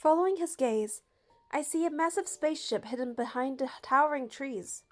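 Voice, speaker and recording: A woman narrating a game playthrough, reading the story text aloud in a steady, even way. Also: sits high, normal speed, faint music behind.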